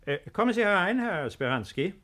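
An actor playing a kindly, earnest baron in a 1950s radio play, courteous and measured, sometimes repeating himself. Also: male voice, high voice, sing-song, sharp tone, slight background noise, exaggerated voice. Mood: bluffing, brisk. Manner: courteous, measured, with hesitant repetitions.

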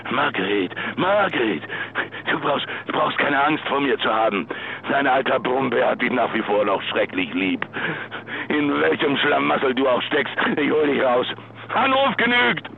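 An actor in a radio drama playing a desperate, menacing yet lovesick husband, agitated and halting on a mobile phone call. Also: male voice, mid-range voice, expressive, vocal fry. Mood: pleading, lovesick. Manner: agitated, halting, repeats words. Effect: mobile phone filter. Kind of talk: German radio drama acting. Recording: noisy phone line from the road.

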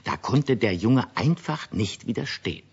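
A man narrating a children's radio play as a storyteller, with a husky voice. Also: fairly low pitch, expressive, unhurried, exaggerated voice. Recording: dull old radio recording.

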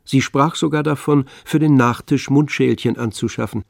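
A man narrating a literary radio play in a measured, composed manner. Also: medium pitch, steady tone, vocal fry, dry recording, clean studio sound.

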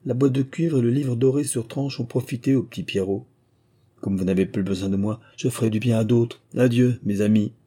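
A man's voice: medium pitch, fairly quick, dark tone, breathy edge, lightly acted. A man voicing a doleful female rain spirit from a storybook, with a lamenting, drawling delivery. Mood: mournful, languid. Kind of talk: storybook character voice.

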